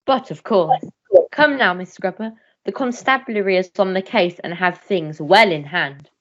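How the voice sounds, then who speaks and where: A woman's voice: lowish voice, normal speed, fairly bright tone, lo-fi sound, close to an everyday voice. A woman playing a blustering older major and father in a radio melodrama, grandiose and declamatory. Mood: brisk, commanding. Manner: grandiose, declamatory, repeating words for effect. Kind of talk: melodramatic radio play acting.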